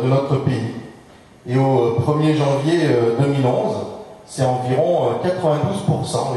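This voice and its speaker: A mellow-voiced man gives a formal municipal speech. He proceeds haltingly, with hesitations and doubled words. The sound is distant, with heavy room echo from a large hall. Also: mid-range voice. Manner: halting, measured delivery with frequent hesitations and repeated words. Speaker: elected municipal official giving a speech. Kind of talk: formal political speech at a public information meeting. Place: large public meeting hall, indoors. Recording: very echoey hall, distant microphone.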